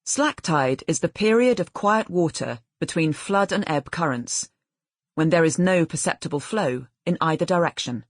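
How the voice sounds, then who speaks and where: Woman, literary audiobook narration, lively intonation, very dry close sound, measured. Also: low voice, no echo.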